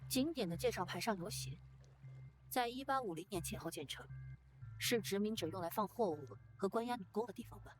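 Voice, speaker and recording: A woman's voice: medium pitch, expressive, a little fast, faint music behind, lightly acted. A woman playing a reserved, observant young character in a visual novel. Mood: matter-of-fact.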